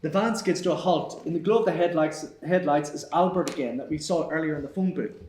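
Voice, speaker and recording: A man's voice: fairly high pitch, a little fast, a little mellow. A man reading stage directions as narrator at a live screenplay table read. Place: theatre stage. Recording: roomy live theatre stage.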